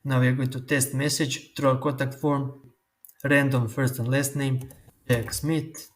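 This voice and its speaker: Man explaining software steps. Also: mid-range voice, level tone. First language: Croatian. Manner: slow, step-by-step instructions. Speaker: tutorial host. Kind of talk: screen-recorded software tutorial.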